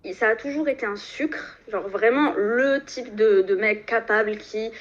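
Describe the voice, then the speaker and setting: A woman's voice: fairly high pitch, unhurried. A woman in her twenties from Algeria, calling into a live stream and talking with many fillers and restarts. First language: French. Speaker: caller. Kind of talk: phone call into a live stream. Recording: muffled phone call.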